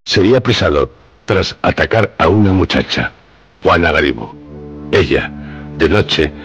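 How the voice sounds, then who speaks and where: A man with a very low voice and a Castilian accent hosts radio horror. He narrates solemnly and ominously, with faint music underneath. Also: animated, a little slow, slightly rough, highs cut off. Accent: Castilian Spanish. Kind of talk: dramatic scripted horror narration.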